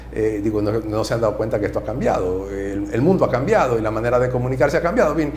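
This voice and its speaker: A man from Nicaragua, a Jesuit priest, answering interview questions in a soft-spoken, measured way. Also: mid-range voice, roomy sound, slight background noise. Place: TV studio.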